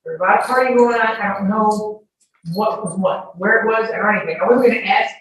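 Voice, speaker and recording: Female witness, argumentative and halting, distant in a very echoey room with slight noise. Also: mid-range voice. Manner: defensive, argumentative, interrupting, halting. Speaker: witness testifying. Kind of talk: courtroom testimony. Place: courtroom hearing. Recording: echoey courtroom, distant, noisy microphone.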